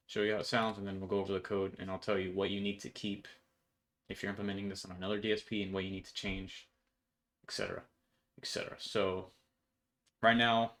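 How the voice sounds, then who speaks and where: A man's voice: lowish voice, a bit bright. A young man giving an informal code walkthrough in an offhand, slangy way. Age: young adult. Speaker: programmer presenting his project. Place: indoor room at home.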